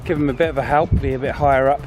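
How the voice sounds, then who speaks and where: A man's voice: mid-range voice, steady tone, racing, muffled recording, music in the background. A man talking casually and informally outdoors as a vlog host, explaining a radio hobby in an encouraging way. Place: outdoors on a walk.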